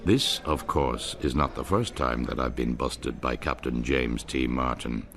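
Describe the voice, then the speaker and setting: A man's voice: a bass voice, measured pace, gravelly, a bit bright. A middle-aged Irish man narrating his own story in a wry, rueful, lyrical way for a radio drama. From Ireland. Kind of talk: scripted first-person radio narration.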